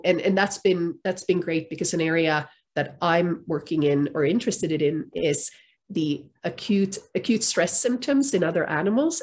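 A middle-aged woman from Finland, speaking as a therapist guest on a video call, thoughtful with many pauses and fillers. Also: fairly low pitch, darkish tone. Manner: thoughtful, many ums and restarts, light laughs. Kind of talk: conversational interview answers. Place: home room on a video call. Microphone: webcam or laptop mic, video call.

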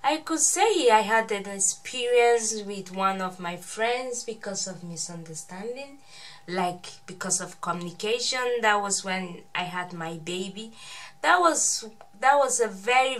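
A woman's voice: medium pitch, measured pace, fairly bright tone. A woman in her thirties hosting a casual family vlog, speaking to viewers and often repeating words. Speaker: vlogger host. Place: small bedroom, indoors.

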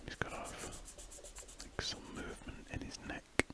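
A British man speaking in a breathy, unvoiced whisper, musing aloud in unhurried fragments in an ASMR style. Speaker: ASMR artist. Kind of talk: whispered ASMR rambling while drawing. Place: indoors at a desk.

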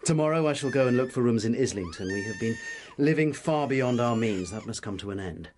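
An actor playing a proud, struggling Victorian writer in a radio drama, English-accented, earnest, formal and brittle. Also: male voice, mid-range voice, lively intonation, brisk, darkish tone, a little hiss or hum, lightly acted. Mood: defiant, bitter. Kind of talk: period radio drama acting.

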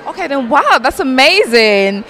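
A woman's voice: high-pitched, sing-song, unhurried, pushed and bright, a faint crowd. A woman in her twenties interviewing guests at an event as a reporter, on a handheld microphone. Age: 20s.

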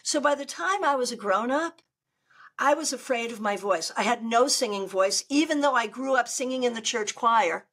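An older woman with a loud, good speaking voice, chatting animatedly as a guest over a video call. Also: on the high side, normal speed. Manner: animated, gestures a lot while talking. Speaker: tarot reader guest. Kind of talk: casual interview chat. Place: home room on a video call.

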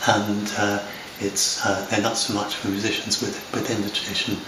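A man's voice: fairly low pitch, flat delivery, moderate pace. An older man with an English accent, talking to camera at home in a hesitant, rambling way, full of fillers and restarts. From lives in Scotland. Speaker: software developer talking to camera. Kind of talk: unscripted talk to camera. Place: home room by large windows. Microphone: far camera. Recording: roomy, distant, noisy home recording.

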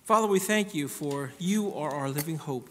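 A middle-aged man preaching a sermon as a pastor, in earnest, emphatic short phrases with pauses. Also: fairly high pitch, slight background noise. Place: church hall pulpit. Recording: reverberant hall through PA.

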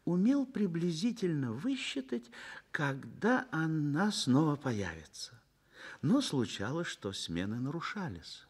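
A man narrating a Soviet radio play in a literary, dramatic style, with measured phrasing and expressive pauses. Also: fairly high pitch, breathy edge.

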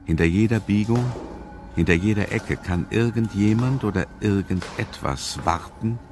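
A man's voice: on the low side, moderate pace. A man narrating a German suspense radio play in a scripted, storytelling style. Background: music.

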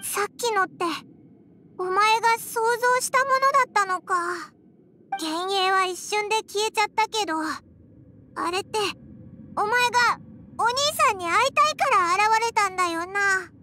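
Casting: excitable small fairy sidekick for anime game, squeaky-high and sharp, blunt and inquisitive. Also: female voice, moderate pace, a little hiss or hum. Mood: puzzled, questioning. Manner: blunt, wide-eyed questioning in boyish casual speech. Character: small, childlike, curious, excitable fairy companion. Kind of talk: Japanese anime game voice acting. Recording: music under the voice.

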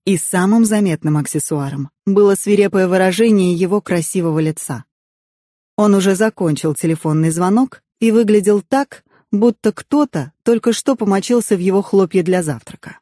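A woman reading a novel aloud as an audiobook narrator, in expressive first-person storytelling. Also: mid-range voice, moderate pace, no echo, clean studio sound.

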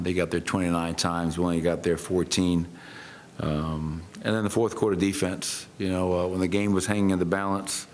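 Low-voiced coach at a press table. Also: male voice, slight background noise. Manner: measured, matter-of-fact, many fillers. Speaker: head coach. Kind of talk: post-game press conference answers. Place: press room.

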